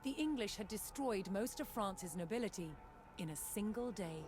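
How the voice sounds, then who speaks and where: A woman reading scripted historical documentary narration in a measured, dramatic storytelling manner. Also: medium pitch, lively intonation. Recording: loud music under the voice. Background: loud background music.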